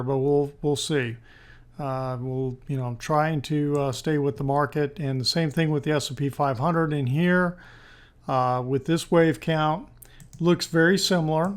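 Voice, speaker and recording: A man with a slightly hoarse voice presenting stock market analysis in an informal, tentative way, thinking aloud as he goes. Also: on the high side. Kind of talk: screen-share market analysis commentary.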